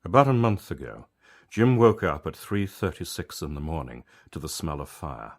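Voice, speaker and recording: A man narrating a written story as its author, in a measured, reflective and conversational storyteller's manner. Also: extremely low pitch, sing-song. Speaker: author reading own story.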